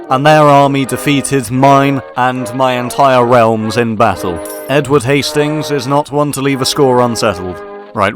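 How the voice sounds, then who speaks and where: A man commentating gameplay in character, using pompous, posh officer bluster and dry asides. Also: medium pitch. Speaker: gaming video host. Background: game music.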